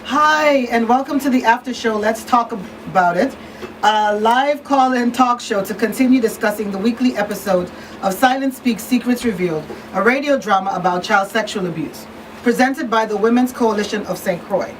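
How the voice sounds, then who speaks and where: A woman's voice: on the high side, normal speed. A woman presenting a live talk show, partly from a script, often repeating words for emphasis. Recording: slightly distant, faint background noise.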